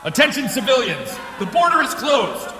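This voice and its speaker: Man acting a high-pitched commanding border officer, echoing. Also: very animated, normal speed, bright, forceful tone, shouting, heavily acted. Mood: stern, shouting. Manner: barking orders. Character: anxious, commanding male border officer warning a crowd. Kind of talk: dramatised emergency scenario acting. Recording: echoing, reverberant space with crowd noise.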